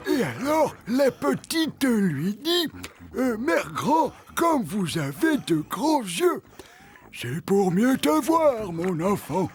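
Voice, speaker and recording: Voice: mid-range voice, big pitch swings, slow. A performer voicing an elderly, theatrical farm storyteller who draws out a fairy tale in a children's cartoon. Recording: music behind the voice. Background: cartoon music.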